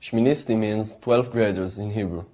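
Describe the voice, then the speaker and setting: A nineteen-year-old man calmly reciting a scripted appeal to camera in a studio. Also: on the low side, normal speed, dull audio. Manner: calm, earnest recitation. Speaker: conscientious objector in an appeal. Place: studio backdrop.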